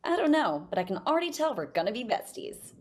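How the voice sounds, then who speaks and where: An actress voicing a friendly, casual, spirited teenage girl in a cartoon, with a husky voice. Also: on the high side, big pitch swings, fast, a little hiss or hum. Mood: friendly, amazed. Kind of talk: animated cartoon table read.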